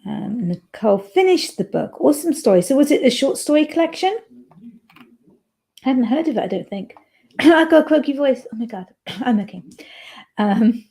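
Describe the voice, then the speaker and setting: Woman streaming a writing sprint, chatty, lots of ums, darkish mellow voice. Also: high-pitched, expressive, moderate pace, creaky, long pauses. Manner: chatty and playful, with frequent "um"s. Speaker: author hosting a writing sprint livestream. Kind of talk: casual livestream chat, reading chat messages aloud.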